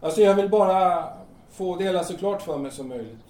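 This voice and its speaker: A man acting a calm, persistent carpenter who questions a desperate stranger bluntly in a naturalistic audio drama. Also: high-pitched, close to an everyday voice. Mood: insistent, earnest. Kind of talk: naturalistic radio drama dialogue. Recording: roomy, distant microphone.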